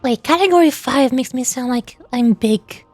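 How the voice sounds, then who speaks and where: A woman's voice: sits high, moderate pace, dry recording. A woman streaming as a VTuber, chatting casually in a playful, rambling way during a game livestream.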